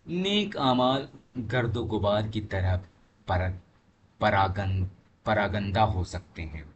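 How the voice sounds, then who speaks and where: A man's voice: fairly low pitch, expressive, slow pace, highs cut off. A man giving a religious lesson, reading aloud and explaining in an emphatic, didactic manner as a teacher. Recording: clearly noisy recording with background ambience.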